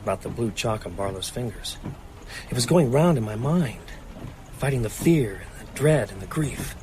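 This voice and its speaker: An actor playing a grieving, haunted man in a horror audio drama, intense and halting under strain. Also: mid-range voice, animated, noisy background, lightly acted. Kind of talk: audio drama acting with first-person narration.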